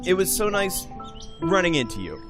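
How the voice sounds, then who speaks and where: Animated man acting a charming young man. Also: high voice, moderate pace. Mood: charming, eager. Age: 20s. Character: charming, eager young returned war hero. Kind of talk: amateur audio drama acting. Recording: background music under dialogue.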